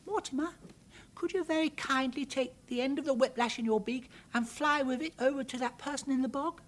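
A man's voice: very high-pitched, fairly quick, a bit bright, pitched above the performer's own voice. A man voicing a polite, eager young girl who speaks sweetly and coaxingly.

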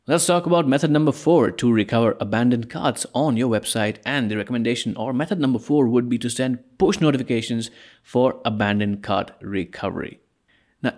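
A man talks through a software tutorial over a screen recording, explaining each step in a relaxed, conversational way. His voice sits at an ordinary middle pitch, and he sometimes corrects himself partway through a sentence. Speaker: tutorial presenter.

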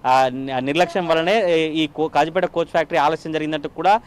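A man reporting the news from the field in a formal register, talking quickly and filling pauses with drawn-out "aa" sounds. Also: a little high, fairly even intonation, smooth. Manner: fast continuous delivery with frequent "aa" fillers.

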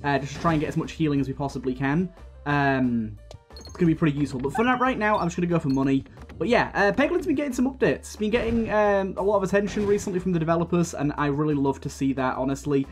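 Slightly raspy man, chatty game commentary. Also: a little high, quick pace. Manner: chatty, thinking aloud with many fillers. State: coming down with a cold. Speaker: gaming video host. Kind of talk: casual let's-play commentary. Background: faint game music.

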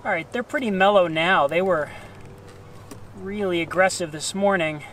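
A middle-aged man working as a beekeeper, calmly talking to himself outdoors on a farm while he works. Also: high-pitched, moderate pace, a little hiss or hum. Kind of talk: casual hands-on narration.

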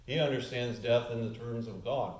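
Pastor guy in his 40s preaching, mid-pitch voice, gets louder and higher on the big points, roomy church echo. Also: normal speed. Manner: earnest preaching, rising in pitch and volume on key points. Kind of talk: sermon. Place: church sanctuary, at the lectern. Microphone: far camera. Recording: echoey church room.

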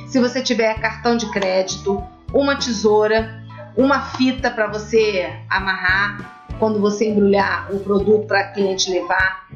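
A middle-aged woman speaks in a fairly high voice. She explains things like a casual craft vlogger, chatty and emphatic, repeating words to stress them. The sound is somewhat dull and lo-fi, with music behind her. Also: fairly bright tone. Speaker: craft tutorial host. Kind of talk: casual explanatory vlog talk.

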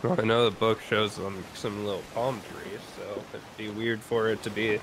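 Clear game noise surrounds a man on voice chat. His voice is fairly low, and he talks casually as a co-player, in an everyday conversational style. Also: steady tone, moderate pace.

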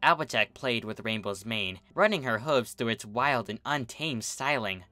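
A man's voice: mid-range voice, lively intonation, moderate pace, sharp tone, dry recording, faint music behind. A man reading a story aloud as scripted narration. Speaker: fan fiction reader.

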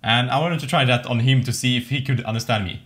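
A man's voice: mid-range voice, quick pace, crowd noise. A young man in a small room, talking through a headset mic, joking around and trying out beginner Japanese between English remarks. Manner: playful, jokey, mixes beginner Japanese with English. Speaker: vlogger learning Japanese. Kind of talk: casual chat in a VR world.

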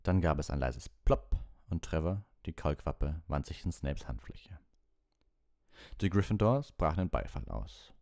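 A man with a low voice narrates a storybook. The recording is dry and close, in the manner of an audiobook reading. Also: expressive, measured pace, darkish tone, dry recording. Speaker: audiobook reader and streamer.

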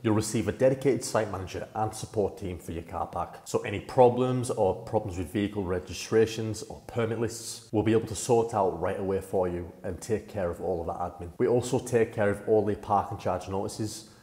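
A man's voice: fairly low pitch, fairly quick, few pauses, mellow. A young man presenting a business service in a plain, practical, informal way over slides. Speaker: company presenter. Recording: echoey room with long reverb.

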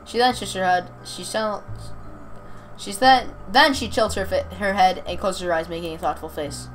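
A woman's voice: mid-range voice, moderate pace, faint music behind, rough recording. A woman reading a visual novel aloud, stumbling over words and rereading them, with off-script comments. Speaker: amateur reader of a visual novel.

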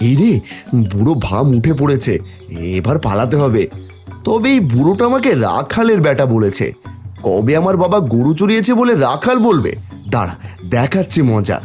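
A man's voice: mid-range voice, wildly swooping pitch, normal speed, a little mellow, faint music behind. A man voicing a mischievous, bold and defiant village boy in a cartoon, with a taunting, sing-song manner. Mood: scheming. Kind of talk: cartoon voice acting.

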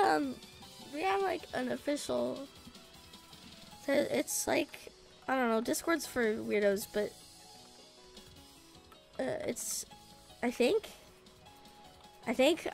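A young woman streaming a game while sick, her voice raspy, swearing, joking with chat and singing la-la to herself. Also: high-pitched, takes it slowly, long pauses. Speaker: VTuber streamer. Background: faint game music.

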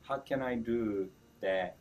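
Deep-voiced chef with Japanese accent. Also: male voice, slow, slight background noise. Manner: broken English, chuckling, animated with hand gestures. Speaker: chef being interviewed. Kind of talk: casual interview answers. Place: indoor store event, seated before a branded backdrop.

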